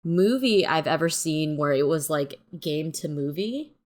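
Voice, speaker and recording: A woman's voice: sits low, lively intonation, unhurried, a little mellow. A woman co-hosting a casual chat, speaking informally and loosely. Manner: informal, peppered with "like". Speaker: co-host.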